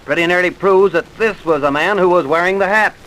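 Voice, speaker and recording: An earnest, determined trooper from a vintage radio play. His voice is bright and forceful and sits a little high, with clipped, methodical delivery over a faintly hissy old recording. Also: brisk, poor audio. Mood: intent, puzzled. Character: earnest, dogged young police trooper investigating a killing. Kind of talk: old-time radio drama acting.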